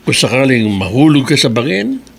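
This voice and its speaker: A Filipino man acting in a radio drama as a smooth-talking boss pursuing his employee. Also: medium pitch, sing-song, normal speed, a bit bright, a little hiss or hum. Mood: seductive, insistent.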